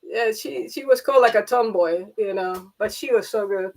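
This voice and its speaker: A woman's voice: medium pitch, moderate pace, poor audio. A middle-aged woman, hosting a live stream in a rambling, chatty way with many fillers and restarts. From Panama. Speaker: livestream host. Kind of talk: casual chat.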